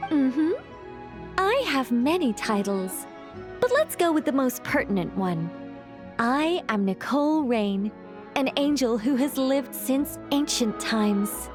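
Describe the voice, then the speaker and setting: Ancient angel lady from a game, high voice, chill and knowing, soft music behind. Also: big pitch swings, slow pace, exaggerated voice. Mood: calm, confident. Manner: informal, composed, reassuring. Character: ancient female angel, relaxed, playful and knowing. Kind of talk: video game character voice acting.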